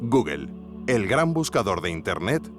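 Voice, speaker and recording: A man's voice: lowish voice, sing-song, measured pace, over music. A man narrating a scripted investigative podcast, theatrical and speaking straight to the listener. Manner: theatrical, addressing the listener directly.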